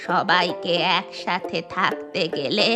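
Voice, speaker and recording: A woman's voice: fairly low pitch, slow pace, music in the background. A woman voicing an elderly, repentant village grandmother in a children's cartoon, plain-spoken and earnest. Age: older. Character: elderly village grandmother, once stingy, now repentant and generous. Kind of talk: children's cartoon character acting.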